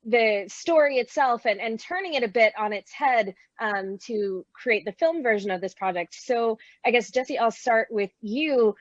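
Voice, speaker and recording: A woman's voice: on the high side, expressive, measured pace, few pauses, no echo. A woman moderating a conversational interview over a dull-sounding video call. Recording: dull, lo-fi online call audio.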